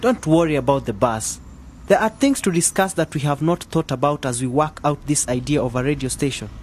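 An actor playing an outspoken, practical young club member in a radio drama, with a frank, questioning manner. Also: male voice, a little high, brisk, a little mellow, slight background noise, lightly acted. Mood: concerned.

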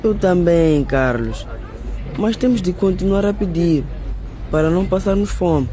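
A man's voice: high voice, expressive, measured pace, warm tone. An earnest street boy. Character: boy Bruno, stubborn older brother.

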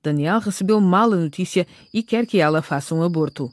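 A woman's voice: on the low side, brisk, a little mellow, no room sound. A woman reading scripted narration for a radio drama as its narrator.

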